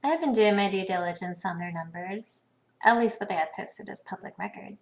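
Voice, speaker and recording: Woman playing a cool savvy business negotiator in a game, sardonic, mid pitched with some fry. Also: brisk, close to an everyday voice. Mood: guarded, wry. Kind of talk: video game dialogue acting.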